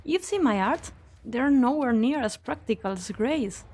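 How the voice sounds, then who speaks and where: An amateur actress in a fan dub playing a loyal, spirited young researcher and spellcaster with an expressive, slightly sardonic way of explaining. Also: female voice, a little high, a little slow, over music. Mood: urgent explaining. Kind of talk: amateur fan dub game drama acting.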